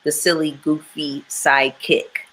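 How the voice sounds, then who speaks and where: A woman's voice: low-pitched, little pitch movement, a little slow, fairly bright tone, slight background noise, low-quality recording. A woman chatting frankly and with strong opinions about a reality show, with many fillers. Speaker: panelist.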